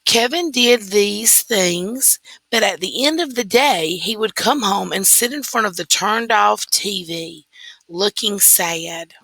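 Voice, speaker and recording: A woman reading a children's story aloud in a deliberate, expressive way, stressing key words. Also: mid-range voice, no room sound. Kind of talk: scripted story read-aloud.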